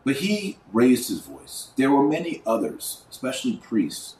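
A man's voice: medium pitch, wildly swooping pitch, measured pace, darkish tone. An American man hosting a podcast, giving an informal, opinionated monologue and emphatically listing points with repetition. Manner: emphatic, repeats and lists items for effect. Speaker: podcast host; online course teacher. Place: indoors at a desk. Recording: roomy echo, distant mic, faint noise.

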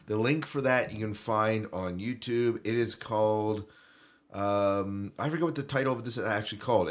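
A man hosting a podcast, chatting casually through news in a conversational, joking manner. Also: fairly low pitch. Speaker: podcast host.

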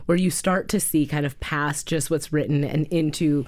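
Low-voiced woman asking thoughtful questions. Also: fairly even intonation, normal speed, a little mellow. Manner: thoughtful, probing questions with fillers. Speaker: interviewer and writing teacher. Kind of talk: casual interview questions.

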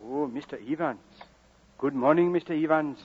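A man's voice: on the high side, moderate pace, very noisy, close to an everyday voice. An actor playing a proud, homely miner and father in an old radio drama, blustering, repetitive and deferential. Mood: flustered, deferential. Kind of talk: 1940s radio drama acting. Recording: muffled old radio broadcast.

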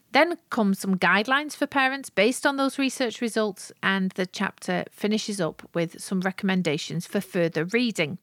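A woman hosting a podcast, presenting a book review in a clear, methodical, scripted way. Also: medium pitch, dry recording, clean studio sound. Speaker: podcast host.

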